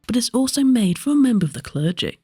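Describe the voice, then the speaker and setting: Mellow, slightly husky female narrator. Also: a little high, very animated, quick pace. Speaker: history presenter. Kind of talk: scripted narration.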